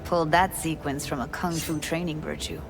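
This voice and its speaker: A woman's voice: deep voice, fairly even intonation, a little fast, gravelly, background noise. An actress playing a determined young techie woman rallying her crew in a video game, talking in clipped, informal street talk. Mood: earnest.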